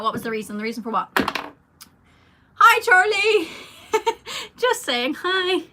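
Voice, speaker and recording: A woman's voice: high-pitched, pushed and bright. A young adult woman, a singer, chatting casually through a livestream Q&A with lots of fillers and a rambling manner. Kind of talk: casual livestream Q&A, reading viewer comments.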